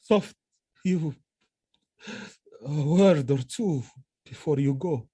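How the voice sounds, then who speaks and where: A man's voice: fairly high pitch, mellow, husky, dry recording, studio quality. A man playing an anguished, proud general in a Shakespearean audio drama, with heavy, declamatory delivery. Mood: solemn, resigned. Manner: declamatory, emotionally heavy, drawn-out phrasing.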